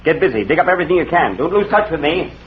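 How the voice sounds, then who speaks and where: An actor playing a hard-bitten, brusque newspaper boss, ruthless and harried, in 1940s radio drama style. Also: male voice, on the high side, very animated, very fast. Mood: harried, angry. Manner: brusque, blustering. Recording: noisy, muffled old radio broadcast recording.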